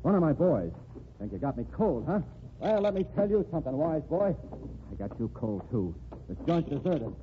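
A man's voice: fairly high pitch, sing-song, normal speed. Gangster heavy, male, tough and clipped, over a tinny hiss-laden old radio line. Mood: threatening. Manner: tough, clipped street talk. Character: menacing male gangster boss, blunt and threatening. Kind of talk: 1940s radio acting.

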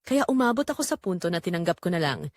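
A woman's voice: fairly low pitch, sing-song, dry recording, voice pushed down. Woman acting lonely wife in her forties, expressively confessional. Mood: wistful, drawn in. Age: 40s. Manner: confessional, dramatic, with short pausing phrases. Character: Rosa, lonely neglected forty-three-year-old wife, wistful and conflicted. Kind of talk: first-person dramatic audio story narration.

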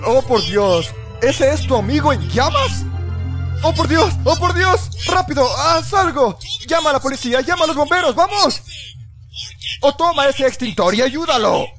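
A man's voice: extremely high pitch, fairly bright tone. A man narrating a scripted comedy parody in a sarcastic, exaggerated, exclamatory manner. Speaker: fandub narrator. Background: music.

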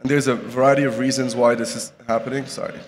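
A young man gives a relaxed conference talk from a podium microphone. He talks loosely, restarting phrases often. His voice rings out in a large hall with a faint hum of ambience. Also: medium pitch, fast talker. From Morocco. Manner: casual, many restarts and fillers. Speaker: conference speaker. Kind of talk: live conference talk with slides. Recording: reverberant hall, faint background noise.

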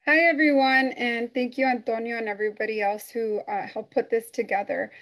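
A woman in her thirties from El Salvador, a foundation board member, telling her personal story openly over a video call with frequent pauses and fillers. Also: fairly high pitch, fairly even intonation, fairly bright tone, rough recording. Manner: open and conversational, frequent uh and um.

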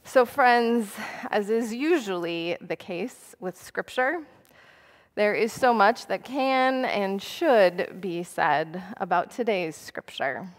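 An American woman in middle age giving a sermon as pastor, deliberate and phrase by phrase. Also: medium pitch. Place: church pulpit indoors. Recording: reverberant church hall.

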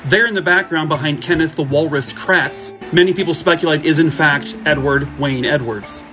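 A man in his thirties tells true crime stories into his phone, breaking off for sarcastic quips. His voice sits a little high for a man. Faint music plays softly behind him. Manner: sarcastic jokey asides. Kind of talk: casual true crime storytelling. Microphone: phone at arm's length.

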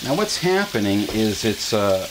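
A man's voice: lowish voice, big pitch swings, measured pace, background noise. A middle-aged man hosting a casual kitchen demonstration, explaining each step in a folksy way with fillers, filmed in a home kitchen on a camera microphone. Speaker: channel host.

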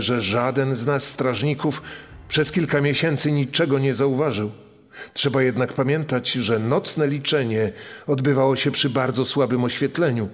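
Casting: man as matter-of-fact prison guard in documentary reenactment, medium pitch, long reverb. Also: natural voice. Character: male prison guard recalling past events.

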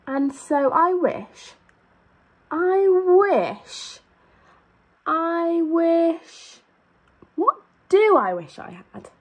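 A woman's voice: very high-pitched, very animated, very slow, pauses often, slight background noise. A young woman reading a children's storybook aloud as a teacher, expressively, drawing out key words for emphasis. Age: young adult. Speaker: primary school teacher.

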